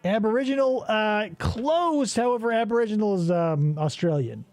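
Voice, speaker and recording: High, lively man hosting a joke game show. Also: moderate pace, no echo, faint music behind. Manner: rambling, profane, sarcastic asides. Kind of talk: casual comedic game show chat.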